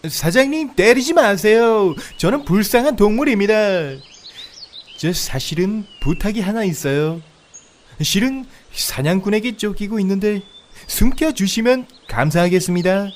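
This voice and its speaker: A man's voice: sits high, expressive, normal speed, slight background noise, pitched above the performer's own voice. A man voicing a pleading, wheedling talking deer in a comedic audio fairy tale, obsequiously polite like a salesman. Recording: outdoor nature ambience, birdsong behind.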